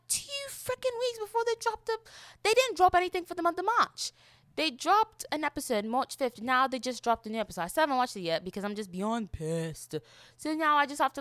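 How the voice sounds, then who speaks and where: A young woman from Florida chats in an affected British accent laid over her American one. Her voice is bright and swings widely in pitch as she rambles expressively to listeners. The sound is dry and close, like a casual solo podcast. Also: smooth, dry recording. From Florida, United States. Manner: expressive, rambling, exasperated emphasis with repeated phrases. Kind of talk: casual, chatty monologue.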